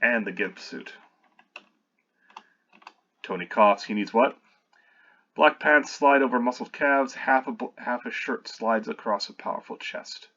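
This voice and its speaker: A man's voice: medium pitch, measured pace, pauses often, a bit bright, muffled recording. A man reading his own screenplay aloud while revising it, stopping often to correct himself and add wry asides.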